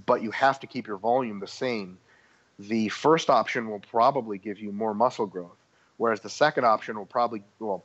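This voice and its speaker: Man, podcast guest, explanatory, hesitant fillers, mid pitch, lo-fi sound. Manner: explanatory, many ums and restarts. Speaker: guest expert. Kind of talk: casual podcast discussion.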